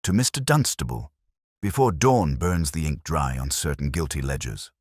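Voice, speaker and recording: A man playing a grave, calm, commanding Victorian detective, speaking in a measured, quietly incisive way in an audio mystery. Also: rumbling low, breathy edge, no echo, clean studio sound, exaggerated voice. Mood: calm authority.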